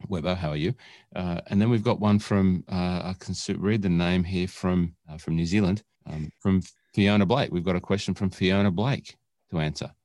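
A man's voice: sits low, moderate pace, a little mellow, no room sound. An Australian man co-hosting a casual podcast, chatting in a rambling, jokey way that often digresses. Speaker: podcast co-host. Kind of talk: casual chat.

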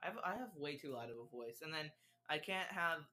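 A man's voice: on the high side, expressive, a little fast, bright, forceful tone. A man rambling and thinking aloud as he watches a show and takes notes, stopping often to correct himself. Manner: distracted, mutters words while writing them down. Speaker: video creator taking notes on a show. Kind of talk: casual live commentary while note-taking. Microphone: desk microphone, level changing during recording.

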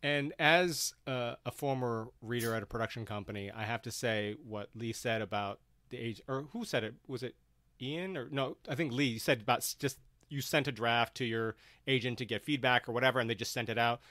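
A man's voice: medium pitch, brisk, pushed and bright, no echo, clean studio sound. A man hosting and moderating a live-streamed panel discussion in a conversational way.

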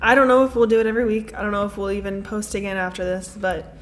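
A woman hosting a casual chat podcast with a middle-pitched, everyday voice. She talks in a bubbly, teasing way, full of "like" and "um.". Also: fast. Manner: bubbly, teasing, filler-heavy. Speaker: podcast co-host.

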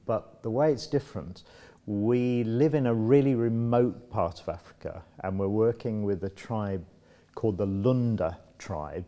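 Low-voiced older man, relaxed storytelling. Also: unhurried, lo-fi sound. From Democratic Republic of Congo. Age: 60s. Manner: thoughtful, rambling with restarts and fillers. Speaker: interview guest. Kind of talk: casual interview.

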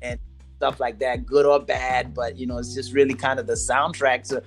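A man hosting a relaxed interview, from Zimbabwe, speaking in a laid-back way with casual slang and frequent restarts. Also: medium pitch, normal speed, gravelly, over loud music. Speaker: podcast host.

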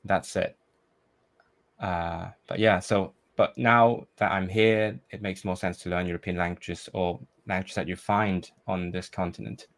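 A man whose first language is Cantonese, chatting as a podcast guest in a thoughtful, halting way with many fillers. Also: low-pitched, steady tone. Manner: thoughtful, hesitant with frequent fillers and restarts. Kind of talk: casual conversation.

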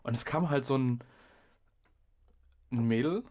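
A man's voice: medium pitch, measured pace, darkish tone. Young man telling stories in slangy, casual chat. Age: young adult. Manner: slangy, acts out quoted remarks. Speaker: podcast host and DJ. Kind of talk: casual chat, animated storytelling.

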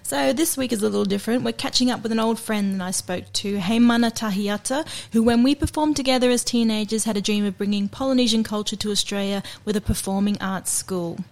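A woman with a fairly high voice reads a scripted introduction as the show's host. She speaks in a measured, formal manner, carefully paced. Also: darkish tone. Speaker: podcast host.

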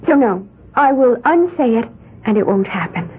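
A woman's voice: a little high, normal speed, lightly acted. A woman playing a dreamy, riddling young woman. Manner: calm, childlike and matter-of-fact. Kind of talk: 1970s radio drama acting. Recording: tinny old radio broadcast with hiss.

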